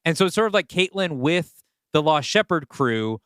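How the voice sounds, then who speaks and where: A man co-hosting a podcast, talking through story ideas casually and reasoning them out in a measured way. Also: a little high, animated, fairly bright tone, smooth, dry recording, studio quality. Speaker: podcast co-host and story writer. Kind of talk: casual brainstorming conversation.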